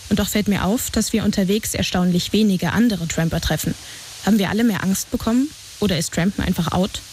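A young woman reporter reading a scripted first-person travel report. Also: medium pitch. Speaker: radio reporter. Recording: loud traffic and ambient noise underneath.

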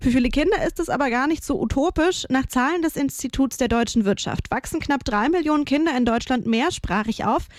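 Bright-voiced radio news presenter, woman. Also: high voice, lively intonation, brisk, hardly any pauses, a little hiss or hum. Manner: smooth, conversational read.

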